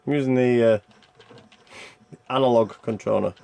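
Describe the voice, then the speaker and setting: A man's voice: mid-range voice, steady tone, normal speed, creaky, mellow. A man giving casual, rambling gameplay commentary, thinking aloud as he plays and reviews a video game.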